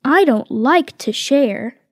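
A woman reading a children's picture book aloud, slow and expressive. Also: high voice. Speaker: reader voicing tiger cubs.

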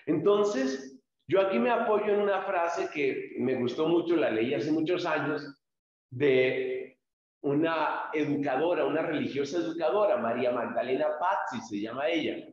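A man's voice: fairly high pitch, moderate pace. A man, a pastor, teaching a church group, explaining patiently and speaking to listeners directly by name. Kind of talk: instructional talk to a small group. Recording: very echoey, dull lo-fi room.

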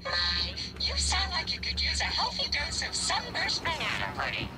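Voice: sits high, huge pitch swings, normal speed, loud music behind the voice, rough recording. An actor voicing a chirpy, polite kitchen robot with sales patter in a video game. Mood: cheery, polite.

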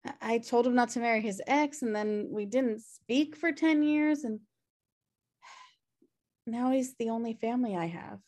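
A woman acts out a messy, self-deprecating sister in a sitcom. Her voice sits a little high. She rambles and hesitates with guilty, wry candor. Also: a little slow, darkish tone, natural voice. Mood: pleading, guilty. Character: messy, guilt-ridden, wry younger-at-heart woman pleading for her brother. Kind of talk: table read of a sitcom pilot. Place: home room on a video call.

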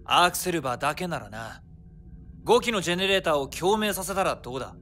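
An actor playing a decisive squad leader who gives firm, commanding orders. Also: male voice, on the high side, big pitch swings, quick pace, fairly bright tone, slight background noise. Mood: tactical.

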